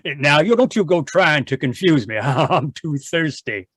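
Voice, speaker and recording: A man playing a small, addled, excitable pilgrim in an old-time radio comedy mystery. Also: fairly high pitch, breathy edge, no echo, studio quality, heavily acted. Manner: exclaiming, chuckling, stammering.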